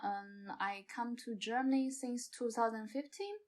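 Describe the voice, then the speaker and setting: A young woman from China with a Chinese accent, chatting casually and haltingly as she searches for words. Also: on the high side, darkish tone. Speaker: interview guest, amateur actor. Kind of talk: casual chat.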